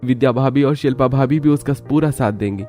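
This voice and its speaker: An eager, happy young son, put on by a man in a cartoon story. The pitch is raised well above a deep storyteller's voice, with music underneath. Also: a little fast, dark tone, close to an everyday voice. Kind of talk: Hindi cartoon story acting.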